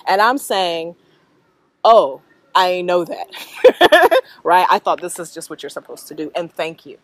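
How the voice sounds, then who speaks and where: A middle-aged American woman answering interview questions reflectively, in a thoughtful, halting manner that often checks in with the listener. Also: lowish voice, big pitch swings. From North Carolina, US. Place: outdoors in a leafy park.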